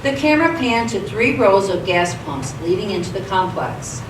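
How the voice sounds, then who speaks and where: A woman's voice: mid-range voice, lively intonation, moderate pace. A woman reading scripted story narration for a radio theatre production. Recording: echoey stage, distant mic, clear noise.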